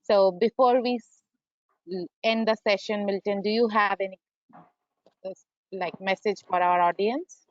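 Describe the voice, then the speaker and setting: A woman's voice: medium pitch, steady tone, measured pace, pauses often, no echo. Woman hosting an online talk, polite, dry muffled call audio. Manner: polite, announcing. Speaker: webinar moderator. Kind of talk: hosting a webinar.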